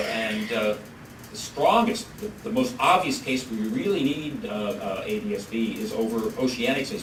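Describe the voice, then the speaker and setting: A man giving a policy presentation at a conference, halting and full of fillers and restarts. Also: medium pitch. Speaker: policy researcher presenting a study. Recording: echoey room from far off, noisy.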